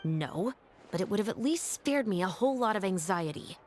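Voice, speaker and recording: A woman's voice: medium pitch, animated, normal speed, slight background noise, lightly acted. A woman voicing a dutiful officer of the law in a game, speaking in a formal, composed way. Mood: exasperated. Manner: formal, composed, lightly weary.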